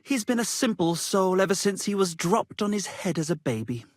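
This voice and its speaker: Theatrical, pompous minstrel, swooping pitch. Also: male voice, big pitch swings, normal speed, no echo, studio quality. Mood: haughty. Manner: florid, grandiose, declamatory, swinging between rapture and despair. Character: pompous, flattering, melodramatic aspiring medieval minstrel, self-styled artist. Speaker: video game voice actor. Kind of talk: theatrical video game character acting.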